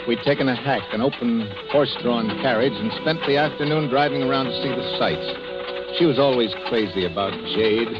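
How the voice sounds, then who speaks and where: Guy playing a terse slangy american tough guy, old radio drama, muffled, music loud behind him. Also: mid-range voice, normal speed, natural voice. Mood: reflective narration. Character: tough, devoted American man telling his tragic tale. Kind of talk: 1940s hardboiled radio acting and narration. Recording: dull 1940s radio, loud music and crowd.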